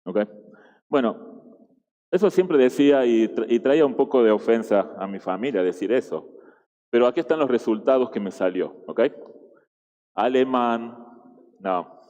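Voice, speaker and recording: A man's voice: mid-range voice, expressive, normal speed, long pauses, warm tone, long reverb tail, dull audio. A man from Argentina with an Argentine Spanish accent, giving a chatty sermon full of restarts and tag questions. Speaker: guest preacher. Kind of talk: conversational sermon.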